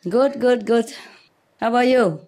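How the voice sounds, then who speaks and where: A woman's voice: on the high side, a little slow, noisy background. A woman spiritual teacher chatting casually with a group, teasing them and laughing often.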